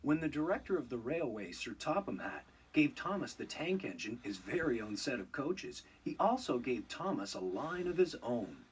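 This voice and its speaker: A man narrating a children's read-along storybook in an expressive, scripted storytelling style. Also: mid-range voice, moderate pace, few pauses, breathy edge, far from the mic.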